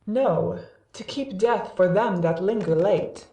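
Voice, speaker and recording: A proud, lofty god of light played by a man speaks archaic stage verse in a measured, declamatory way. The delivery is very slow, with strong room echo and some distance from the microphone. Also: high voice, vocal fry, dark tone, full character voice. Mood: pleading. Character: Apollo, proud god of light pleading for mercy. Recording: echoey room, distant from mic.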